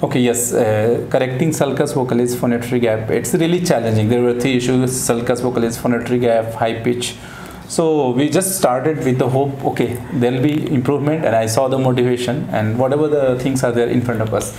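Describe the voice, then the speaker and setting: A man's voice: mid-range voice, fairly even intonation, a little fast, hardly any pauses, mellow. A middle-aged man with an Indian English accent, a speech therapist formally reading case notes and interviewing a patient in his clinic on a lapel mic. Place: clinic office with banner. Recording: echoey room, faint hiss.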